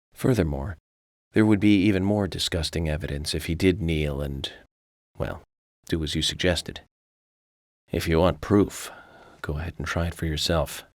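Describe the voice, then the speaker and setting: An actor playing a self-assured, coolly analytical male federal investigator in a video game, measured and matter-of-fact as he lectures. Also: low-pitched, no room sound, clean studio sound. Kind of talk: video game cutscene acting.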